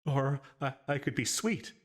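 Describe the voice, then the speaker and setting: Man playing a halting, husky, lonely demon. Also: mid-range voice, expressive. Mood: tentative, yearning. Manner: halting, hesitant, stammering, tentative. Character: lonely, possessive, anxious male sleep paralysis demon longing to be real. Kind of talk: intimate ASMR audio roleplay.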